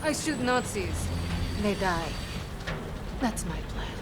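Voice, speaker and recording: A woman's voice: mid-range voice, very animated, takes it slowly. A woman playing a blunt, fierce soldier with a terse delivery in a war video game cutscene. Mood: grim resolve. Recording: loud music and vehicle noise behind.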